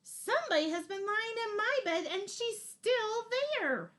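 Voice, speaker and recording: A woman voicing a small, upset baby bear in a storybook, wailing and excitable. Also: very high-pitched, measured pace, pitched above the performer's own voice, exaggerated voice.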